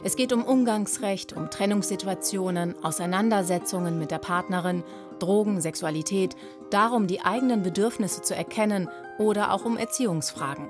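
A woman reading scripted documentary narration in a clear, even voice-over. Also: medium pitch, moderate pace. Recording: voice-over with music behind.

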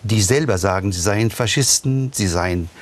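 An older man from the West Bank, a panel guest, speaking in a deliberate, halting way. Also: on the low side. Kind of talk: panel discussion.

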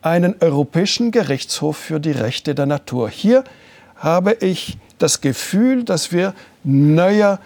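An older man whose native language is French, giving measured, deliberate answers as an interview guest and slowing down to stress his points. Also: a little high, animated, darkish tone, no echo. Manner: measured, deliberate, slows down for emphasis.

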